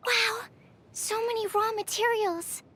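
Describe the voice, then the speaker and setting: An actress voicing a shy, bookish young female bioalchemist in a video game, soft-spoken, hesitant, polite and precise, easily flustered. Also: sits high, a little slow. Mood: alert, focused. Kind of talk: video game character voice lines.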